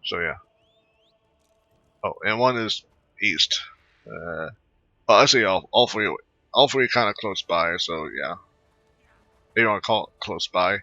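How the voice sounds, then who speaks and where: A man doing casual let's play commentary, halting and rambling as he thinks aloud. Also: fairly low pitch, animated, bright, forceful tone, no echo, lo-fi sound, soft background music. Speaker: gaming video host.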